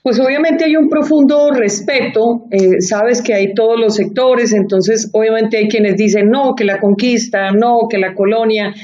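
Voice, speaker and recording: Colombian woman guest, earnest and reflective, fairly high voice, lo-fi muffled sound. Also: darkish tone. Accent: Colombian Spanish. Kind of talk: conversational interview. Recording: muffled lo-fi audio.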